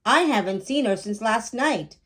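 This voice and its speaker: A woman's voice: on the high side, lively intonation, moderate pace, fairly bright tone, husky. A woman voicing a kindly, busy mother in a children's storybook, with emphatic calling.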